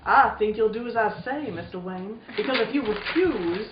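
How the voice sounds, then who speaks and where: A woman voicing a suave, menacing male blackmailer in a radio serial, deliberate and slightly seductive. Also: fairly high pitch, expressive, lightly acted. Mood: smooth menace. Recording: roomy, distant, dull sound with noise.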